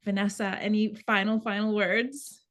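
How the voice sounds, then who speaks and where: A woman's voice: medium pitch, steady tone, normal speed, a bit bright. A woman hosting an informal script table read, addressing the group conversationally.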